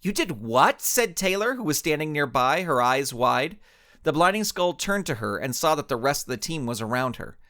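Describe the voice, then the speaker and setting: A man reading his own serialized story as an animated scripted narration. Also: a little high, normal speed.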